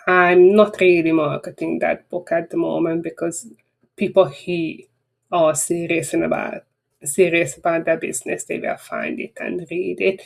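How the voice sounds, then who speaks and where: A woman speaking English with a Hungarian accent, her voice sitting a little low for a woman. She rambles in a loose, conversational way, frank and a bit self-deprecating. It sounds like a casual webinar wrap-up rather than a polished script. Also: creaky. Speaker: marketing webinar presenter.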